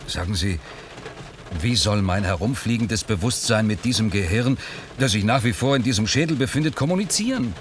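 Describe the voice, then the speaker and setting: A low male voice with wide swings in intonation sounds over clear background noise as an actor plays the part. He is a guarded, brooding man in a radio drama, sceptical and probing. His delivery is terse and dramatic. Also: expressive, moderate pace, close to an everyday voice. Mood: brooding, agitated. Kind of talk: German radio play acting.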